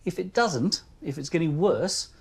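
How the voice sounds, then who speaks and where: A calm Australian doctor's voice, a man speaking a little higher than most men. He explains things gently and patiently, checking often that he is understood, in a quiet consulting room. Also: a little slow, creaky, slightly rough.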